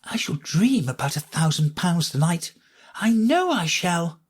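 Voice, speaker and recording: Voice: mid-range voice, sing-song, unhurried, slightly rough, pitched above the performer's own voice. A reader voicing a polite but impatient young girl in a storybook. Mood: thoughtful.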